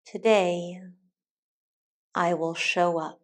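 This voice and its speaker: Woman, meditation teacher, slightly low pitch, very slow pace, soothing, deliberate pauses. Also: steady tone. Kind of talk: guided meditation, soft instructional narration.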